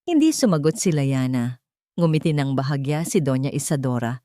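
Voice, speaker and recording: Low-voiced woman narrating a dramatic story. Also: animated, moderate pace, mellow, dry recording, clean studio sound.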